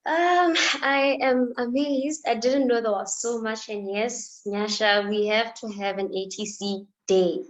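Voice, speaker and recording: A young woman in her late teens hosts in a high voice. She speaks informally and earnestly, feeling her way through unscripted phrases. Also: unhurried, hardly any pauses, poor audio. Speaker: event co-host. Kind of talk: casual unscripted hosting talk.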